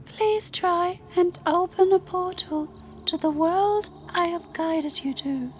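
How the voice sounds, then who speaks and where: A woman voicing a gentle, solemn celestial moon spirit in a fantasy audio drama, speaking formally and with measured care. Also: extremely high pitch, a little hiss or hum. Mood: solemn, instructing. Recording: music bed under voice.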